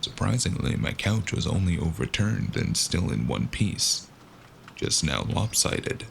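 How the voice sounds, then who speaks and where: An actor playing a weary, battered investigator narrating a first-person noir audio drama in a gravelly voice. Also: male voice, low voice, big pitch swings, unhurried, slight background noise, full character voice.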